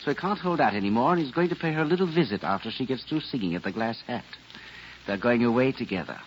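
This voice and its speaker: An actor playing a coolly menacing, sarcastic gangster henchman with a dry, measured manner, in 1940s radio crime drama. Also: mid-range voice, lively intonation, natural voice. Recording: muffled, noisy old radio recording.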